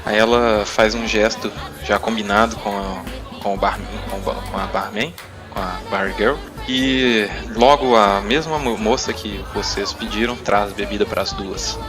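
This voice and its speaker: A man's voice: lowish voice, a little slow, a crowd in the background. A man narrating a tabletop roleplaying game as game master, with music behind him. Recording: clear music bed behind.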